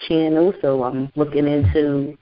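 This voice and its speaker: A woman's voice: sits low, flat delivery. Chatty young woman on muffled call-in audio. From Newark, New Jersey. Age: 20s. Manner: chatty, loose, with many fillers and quick laughs. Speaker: guest author and internet radio host. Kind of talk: casual phone interview chat.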